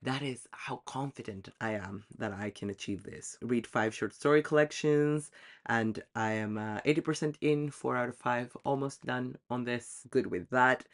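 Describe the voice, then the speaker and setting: A man's voice: mid-range voice, steady tone, moderate pace. A man talking casually to camera about his reading goals, chatty and self-deprecating, with frequent ums. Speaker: book vlogger.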